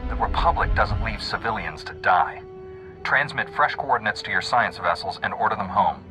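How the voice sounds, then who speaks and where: An actor playing a principled male Jedi hero in a video game, calm, dry and resolute. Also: on the low side, moderate pace, natural voice. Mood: stern calm. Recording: music under the voice.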